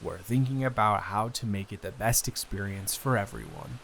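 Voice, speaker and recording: A man's voice: on the low side, moderate pace, gravelly, fairly bright tone, slight background noise, pitched below the performer's own voice. A man playing a responsible, wry eldest son who rambles hesitantly in an improvised intimate audio roleplay. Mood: musing, mildly frustrated.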